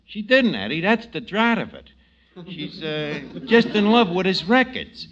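An actor playing a wisecracking, gloomy New York tavern manager in a 1940s radio comedy, slangy and grumbling with a New York accent. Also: male voice, sits high, a little slow, full character voice. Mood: exasperated. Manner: slangy, ungrammatical, grumbling deadpan. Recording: muffled, noisy old radio recording.

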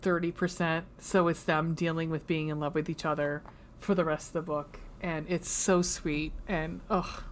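Casual woman podcaster, deep for her sex, chatty and profane with lots of ums. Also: little pitch movement, moderate pace, slight background noise. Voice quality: low voice. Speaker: podcast co-host. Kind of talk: casual chat.

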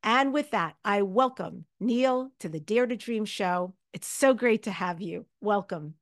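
A woman's voice: mid-range voice, a little slow. A woman hosting a podcast interview in a conversational, effusive and emphatic way.

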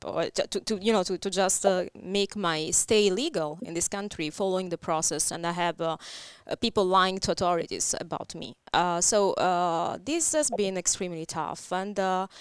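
A woman from Italy speaking English with an Italian accent, a talk show guest who talks haltingly with many fillers. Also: mid-range voice, clear, resonant tone, dry recording.

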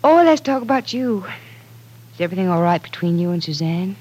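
A woman's voice: lowish voice, expressive, a little fast, darkish tone, husky. An actress playing a candid, caring young woman in an old-time radio drama, conversational and reflective. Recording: vintage radio broadcast.

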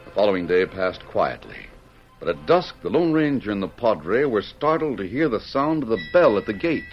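A man's voice: fairly low pitch, sing-song, moderate pace. A man narrating an old-time radio drama in a solemn, suspenseful, resonant voice. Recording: dull vintage radio recording. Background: dramatic music.

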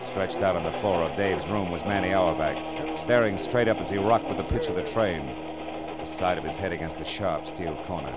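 An actor playing a wry, world-weary private detective in a 1940s radio drama, with a clipped, tough delivery. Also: male voice, low-pitched, moderate pace, very noisy, close to an everyday voice. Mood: tense, deadpan. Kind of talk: 1940s radio drama acting and first-person narration.

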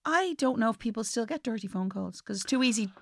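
A woman's voice: on the high side, expressive, quick pace, vocal fry, dry recording, studio quality. A woman with an Irish accent chatting casually and comically as a podcast co-host, telling things in a chatty, anecdotal way.

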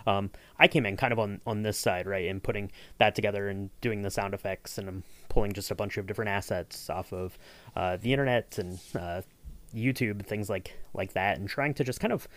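A man's voice: on the low side, steady tone, fairly quick, a bit bright. A man hosting a casual behind-the-scenes podcast, talking in a loose, hesitant, rambling way. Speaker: podcast host.

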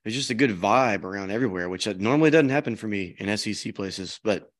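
A man's voice: on the low side, fast. A man hosting a podcast with a strained voice, chatting casually and rambling with restarts. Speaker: podcast host. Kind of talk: casual chat.

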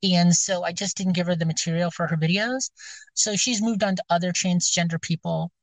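A woman's voice: fairly low pitch, steady tone, fairly quick, dry recording. A woman with a scratchy voice chatting casually, rambling and hesitant with many fillers and restarts. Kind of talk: casual chat. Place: outdoors, near her car.

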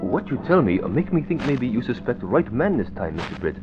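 Loyal valet-driver played by a male actor, clipped deferential phrasing, lively pitch, vintage radio sound with music. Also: a little fast, muffled recording, close to an everyday voice. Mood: curious, cautious. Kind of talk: 1940s radio acting.

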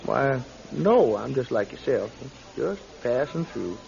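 An actor playing a genial, pious older traveling preacher in a radio drama, with courtly, unctuous phrasing. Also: male voice, mid-range voice, big pitch swings, slow, vocal fry, a little mellow, exaggerated voice. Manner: courtly, unctuous, quaintly formal. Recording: slightly dull radio recording.

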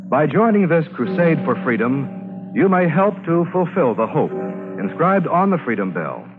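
A formal American radio announcer from the 1950s, a man with a slightly high, swooping delivery. He reads scripted copy over clear background music, and the broadcast recording is narrow and tinny. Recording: band-limited old radio broadcast with loud music.

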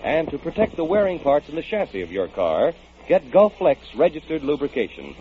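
A young man announcing and reading a scripted commercial in 1940s radio style. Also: a little high. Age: young adult. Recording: muffled, slightly noisy 1940s radio broadcast.

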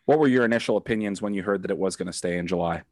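A man hosting a casual podcast interview, his voice a little off from a cold, relaxed and joking. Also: sits low, very fast, no room sound, studio quality. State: has a cold. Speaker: podcast host.

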